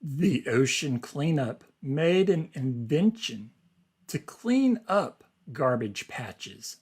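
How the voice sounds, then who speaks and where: A man, a teacher reading an article aloud to learners and explaining it in simple, deliberate phrasing. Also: medium pitch, sing-song, breathy edge.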